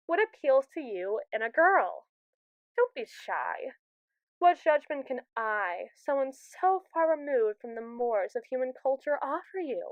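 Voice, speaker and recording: Casting: woman to play a domineering, curious robot AI for ASMR roleplay. Smooth, clear female voice, smug sass, theatrical delivery, very dry close recording. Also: fairly high pitch, no room sound, muffled recording. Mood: eager, probing. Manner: sassy, theatrical, verbose and teasing. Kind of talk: ASMR roleplay monologue addressed to the listener.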